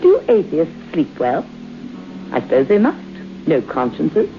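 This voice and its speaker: Low-voiced, imperious house owner. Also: female voice, wildly swooping pitch, unhurried, full character voice. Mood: musing, mocking. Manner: haughty, commanding, theatrical. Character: imperious, willful, mocking lady of a haunted house. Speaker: actor in radio drama. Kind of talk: old-time radio drama acting. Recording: muffled, hissy old radio broadcast.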